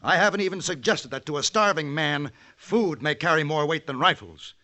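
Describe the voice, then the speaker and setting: An actor playing a calm, principled gunfighter in a vintage radio western, speaking in a measured, firm, articulate way. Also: male voice, on the high side. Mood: earnest, persuasive.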